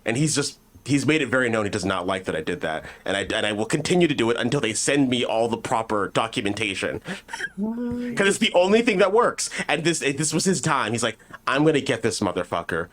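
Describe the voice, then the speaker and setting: A man tells a comedic story in a casual podcast style. He rambles and swears, often slipping into mock dialogue to quote other people. His voice sits at an average male pitch. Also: big pitch swings, a little fast, fairly bright tone. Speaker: podcast co-host.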